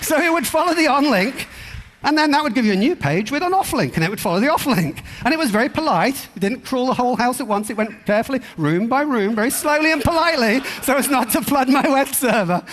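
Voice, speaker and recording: A man's voice: very high voice, fairly bright tone. A man giving an informal technical conference talk in a conversational, wry, anecdotal way. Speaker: conference speaker. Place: indoor conference hall. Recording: reverberant hall sound.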